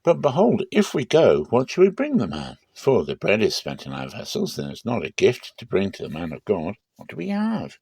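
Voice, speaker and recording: A man's voice: fairly low pitch, huge pitch swings, moderate pace, vocal fry, few pauses, darkish tone, slightly rough, dry recording, clean studio sound, exaggerated voice. A man voicing an earnest young man on a search, questioning and concerned, in a dramatic scripture reading.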